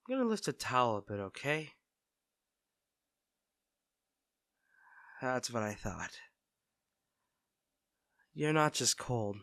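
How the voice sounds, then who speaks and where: Male actor for devoted best friend in audio roleplay; caring, teasing, conversational, lively intonation, dry sound. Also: medium pitch, no echo. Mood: gentle concern. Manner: fussing, tender, conversational. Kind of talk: soft-spoken scripted roleplay audio drama.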